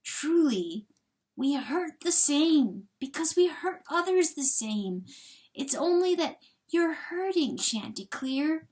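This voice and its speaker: Storybook coyote, acted by a woman: small, hurt, gentle, very unhurried, earnest near-whisper. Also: sits high, big pitch swings, voice pushed up, exaggerated voice. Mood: tender, forgiving. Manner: soft, slow, earnest whispering. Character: small, gentle, wounded male coyote, forgiving and calm.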